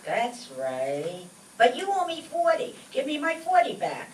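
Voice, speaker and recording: A woman's voice: high-pitched, wildly swooping pitch, a little slow, heavily acted. A woman playing a gullible, exasperated male stooge in a vaudeville-style radio comedy routine. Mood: indignant, flustered. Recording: echoey distant mic, noisy live stage.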